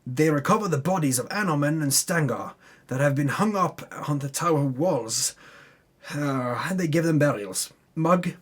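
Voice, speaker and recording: A man giving a dramatic, mock-epic narration of a fantasy campaign recap, grandiose in delivery with dry, tongue-in-cheek asides. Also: a little high. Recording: music under the voice. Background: background music.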